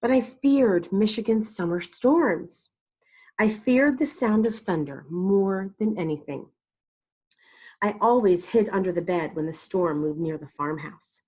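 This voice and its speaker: Expressive woman reading aloud, slightly husky. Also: medium pitch, a little slow. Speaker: storyteller reading a picture book aloud to children. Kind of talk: expressive read-aloud narration.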